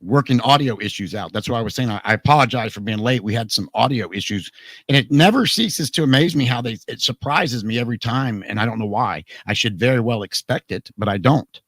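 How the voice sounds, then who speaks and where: A man hosting a live radio talk program, his voice worn hoarse, chatting casually and jokingly with many restarts. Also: mid-range voice, a little fast, a bit bright, dry recording.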